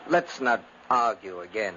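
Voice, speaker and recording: A man's voice: on the low side, expressive, measured pace, bright, forceful tone. A stern aristocratic brother, controlling and impatient, with uneasy undertones. He speaks curtly in theatrical 1950s radio style, on a muffled recording with faint hiss. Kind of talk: 1950s radio drama acting.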